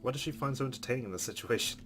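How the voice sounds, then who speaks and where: A man's voice: mid-range voice, racing, a bit bright. A man hosting a let's play, reading game narration aloud and adding casual comments. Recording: game music behind the voice.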